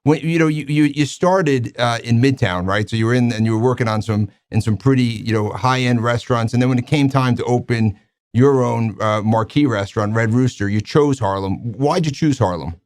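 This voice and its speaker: A man hosting an interview, asking questions in a halting way with repeated words and many fillers. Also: medium pitch, a little mellow, dry recording, studio quality. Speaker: interview host. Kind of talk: conversational interview.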